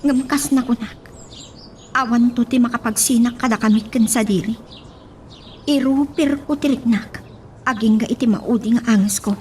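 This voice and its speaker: A woman's voice: on the high side, moderate pace, long pauses, darkish tone, a little hiss or hum, natural voice. A woman playing a lovesick, headstrong young daughter in an audio drama, emotional and defiant. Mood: determined, heartsick.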